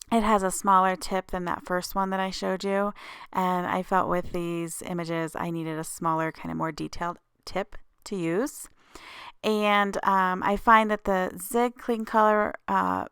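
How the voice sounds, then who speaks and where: Scratchy, creaky-voiced woman giving a craft tutorial. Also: mid-range voice, a bit bright, dry recording, studio quality. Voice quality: slightly scratchy. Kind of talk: casual tutorial voice-over.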